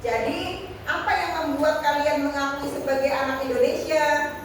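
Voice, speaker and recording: A woman voicing a kind female class teacher who gently encourages a shy new pupil. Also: sits high, flat delivery, moderate pace, natural voice. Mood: explaining. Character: friendly adult female class teacher, welcoming. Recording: echoey hall, distant mic, noisy.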